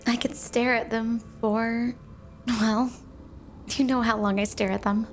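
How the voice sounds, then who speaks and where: A woman's voice: on the high side, fairly even intonation, unhurried, natural voice. A woman voicing a sweet, obsessively devoted yandere snow woman wife in a flirty, doting ASMR roleplay spoken to the listener. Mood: adoring, sweet. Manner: sugary, doting, flirty, clingy. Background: music.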